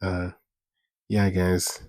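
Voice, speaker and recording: A man's voice: sits low, completely flat delivery, extremely slow, darkish tone, no room sound. A man in his twenties hosting a casual solo podcast, rambling, repeating phrases and using informal slang. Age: 20s. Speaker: podcast host.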